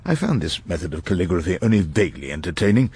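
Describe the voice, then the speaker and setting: An irascible old English barrister in a radio drama. His husky voice sits on the low side and swings expressively through wry, ornate, literary sentences. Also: big pitch swings, fast, a little mellow, full character voice. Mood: wry, world-weary. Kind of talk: radio drama narration and dialogue.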